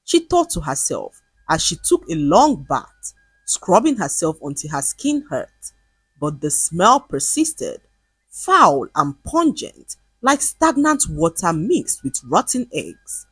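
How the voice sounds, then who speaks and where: Husky woman storyteller, lively and low-pitched. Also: takes it slowly, dry recording. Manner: expressive, dramatic storytelling. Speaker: storyteller narrator. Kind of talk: scripted folktale narration.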